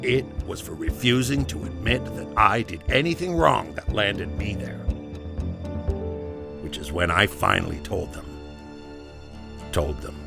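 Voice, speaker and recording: A guilt-worn camp inmate in a gritty audio drama. His voice is low and full of gravel, and he confesses haltingly, brooding and swearing, with music running underneath. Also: full character voice. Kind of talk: first-person confessional audio drama monologue.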